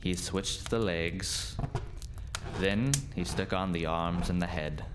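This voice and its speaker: A man's voice: low-pitched, steady tone, takes it slowly, noisy background. A man narrating a scripted folk tale as the storyteller in a radio play.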